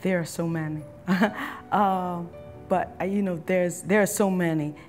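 A woman's voice: fairly low pitch, steady tone, unhurried, darkish tone, soft background music. A woman in her thirties from East Harlem, a cafe owner talking candidly in an interview with lots of fillers and restarts, on a lapel mic in a brick-walled cafe. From East Harlem, New York.

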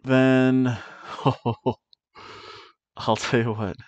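A middle-aged man talks slowly and playfully through his wrestling picks, drawing out the names with rolled R's. He speaks into a headset mic in a small room at home. The sound is dry and a bit dull and lo-fi. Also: medium pitch, little pitch movement, clear, resonant tone, no echo. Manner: playful, rolls R's on wrestler names, hums dramatic tunes. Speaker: wrestling review host. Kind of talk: casual predictions chat to camera.

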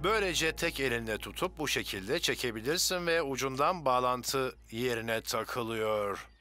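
A man's voice: medium pitch, moderate pace, sharp tone, soft background music, lightly acted. A man voicing a kind, capable firefighter hero in a cartoon dub, helpful and encouraging. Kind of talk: animated cartoon dub acting.

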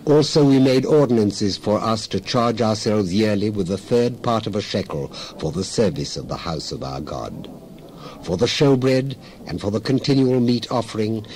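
A man reading scripture aloud as a dramatized narration, in solemn, measured phrases. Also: on the low side, expressive, darkish tone, a little hiss or hum.

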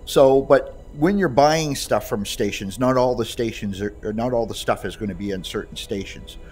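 A man giving a casual, halting, conversational gameplay demonstration. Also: mid-range voice, darkish tone. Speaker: creator demonstrating his voice pack. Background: music behind the voice.

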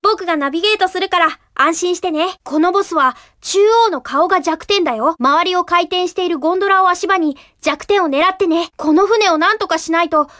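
A woman's voice: extremely high pitch, fairly quick, hardly any pauses, fairly bright tone, no echo, clean studio sound. A woman voicing a cheerful, helpful young boy fox sidekick who gives friendly, reassuring hints in a video game. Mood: reassuring, urging. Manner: friendly, clear step-by-step explaining, reassuring. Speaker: tutorial guide. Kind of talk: scripted video game hint narration.

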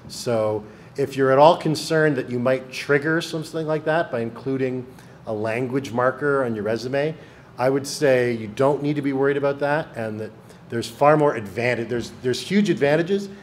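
A man's voice: medium pitch, normal speed, a little hiss or hum. A man in his thirties on a panel in a lecture room, speaking frankly and animatedly into a table microphone. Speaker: panelist, public servant. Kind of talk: panel Q&A answer. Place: university lecture room, indoors. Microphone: table microphone, seated.

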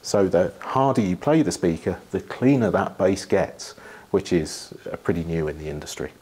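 A middle-aged man with a deep, husky voice explains technical details as an engineer being interviewed. He phrases things thoughtfully, pausing and repeating words for emphasis. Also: lively intonation, dark tone. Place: living room with wood panelling and large window.

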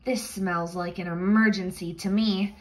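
A woman's voice: medium pitch, steady tone, a little slow, a little mellow, lightly acted, poor audio. Woman reading a courteous, officious ship's pet officer. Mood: concerned.

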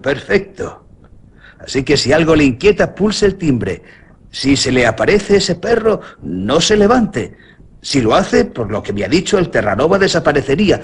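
An actor with a Castilian accent playing a polite, inquisitive doctor in a radio suspense drama, speaking deliberately and theatrically. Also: male voice, a little high, big pitch swings, husky, heavily acted. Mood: courteous, instructing. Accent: Castilian Spanish. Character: courteous, inquisitive, calm male doctor in a suspense tale. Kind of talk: Spanish radio theatre acting.

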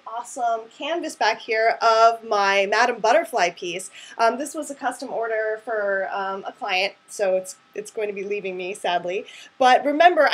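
Young woman, high slightly husky chatty voice. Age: 20s. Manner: chatty and rambling, frequent "like". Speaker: artist hosting her own art show. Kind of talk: casual chat to camera. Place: small room at home, indoors.

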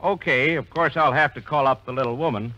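A man's voice: mid-range voice, brisk, sharp tone, muffled recording, close to an everyday voice. A man playing a stubborn small-town visitor who sounds plaintive and insistent, in 1940s radio comedy acting.

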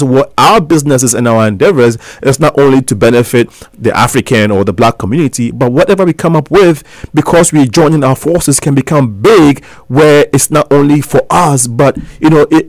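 A man's voice: medium pitch, no room sound. A man in his thirties from Ghana, a guest on a college radio interview, speaking earnestly and persuasively with frequent restarts. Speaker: guest, talk show host. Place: small college radio studio.

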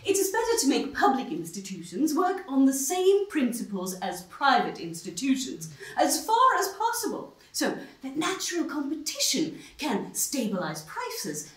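An actress as a high-voiced smug female pundit. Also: wildly swooping pitch, unhurried, hardly any pauses, heavily acted. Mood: smugly agreeing. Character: self-assured, smug free-market female intellectual pundit. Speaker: actor in a stage play. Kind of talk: satirical stage play acting of a TV debate. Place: small stone-walled theatre stage. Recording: roomy stage sound, distant mic.

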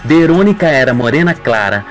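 A man's voice: mid-range voice, sing-song, brisk. A man with a Brazilian accent giving dramatic scripted narration for a radio soap opera. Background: music.

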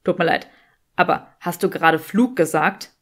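A woman's voice: lowish voice, normal speed, a bit bright, pitched below the performer's own voice. A woman voicing a smug, sardonic young male wizard with a dry, teasing manner, in an audiobook story. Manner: dry, teasing, self-satisfied.

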